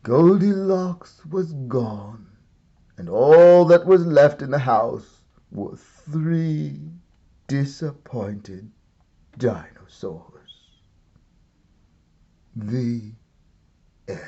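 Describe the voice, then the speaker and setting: A man reads a children's story aloud as an expressive narrator, theatrical and deliberate with comic timing. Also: mid-range voice, husky, muffled recording. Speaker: storybook reader, art and stories teacher.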